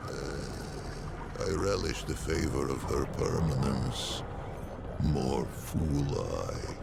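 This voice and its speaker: A man voicing an ancient, weary, non-human priest of the dead in a video game, with a gravelly voice and a slow, formal, archaic, musing manner. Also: fairly even intonation, very noisy, full character voice. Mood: rueful reminiscence. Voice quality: deep gravelly creaking voice. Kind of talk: theatrical video game character dialogue. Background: music.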